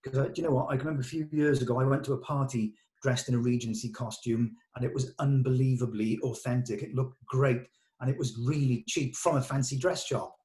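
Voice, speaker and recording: Mellow-voiced man, explaining things casually. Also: medium pitch, steady tone, fast. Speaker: film director leading a table read. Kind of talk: casual production meeting talk.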